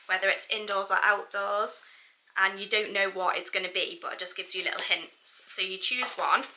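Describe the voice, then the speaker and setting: A young British woman with a very bright, crisp-edged voice at a medium pitch. She chats casually to her vlog viewers as a mum, informal and thinking aloud as she talks. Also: fairly even intonation, normal speed, low-quality recording. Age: young adult. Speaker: family vlogger and mother.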